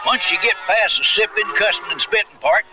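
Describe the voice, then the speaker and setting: A man plays a wisecracking cowhand in an old radio western. His voice is high and folksy, a little off the mic, with music behind. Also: brisk, distant mic, natural voice. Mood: wry advice.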